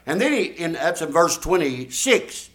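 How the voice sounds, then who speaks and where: A man's voice: fairly high pitch, big pitch swings, unhurried, a bit bright. A man, preaching a folksy, emphatic sermon and drawing responses from his congregation. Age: 70s. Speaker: pastor. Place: church. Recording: echoey church room.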